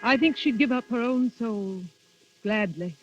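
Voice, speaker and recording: A woman's voice: a little high, a little slow, soft background music, close to an everyday voice. An actress playing an earnest, lovestruck young woman in a melodramatic 1930s radio drama. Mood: ardent. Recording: muffled 1930s radio recording.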